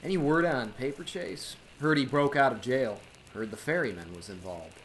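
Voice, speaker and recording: An actor playing a cool-headed speakeasy owner and secret vigilante, terse and dry, in an old-time radio drama. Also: male voice, mid-range voice, moderate pace, fairly bright tone, slight background noise, close to an everyday voice, low-quality recording. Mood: casual, guarded.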